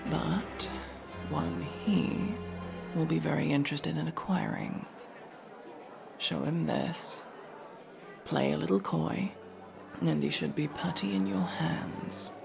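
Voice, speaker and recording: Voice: medium pitch, vocal fry, exaggerated voice. A performer voicing a guarded, sardonic masked elf rogue in a casual ASMR roleplay, speaking to the listener with hesitant asides. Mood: focused, conspiratorial. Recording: music playing behind the voice.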